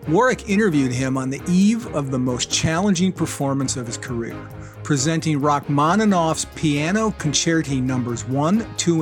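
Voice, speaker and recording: A man co-hosting a podcast, reading a scripted introduction in a measured, earnest way. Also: a little high. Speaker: co-host.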